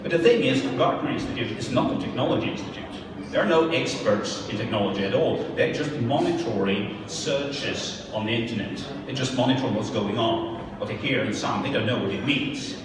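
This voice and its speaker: A man with a Swedish accent giving a technical lecture, speaking haltingly with many fillers and restarts. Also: on the low side. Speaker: conference speaker. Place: lecture hall. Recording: roomy hall, distant mic, background noise.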